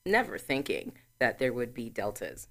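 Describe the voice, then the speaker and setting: A woman in her forties from Trinidad, a guest answering interview questions in a measured, explanatory way. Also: extremely low pitch, big pitch swings. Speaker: guest, sorority chapter president.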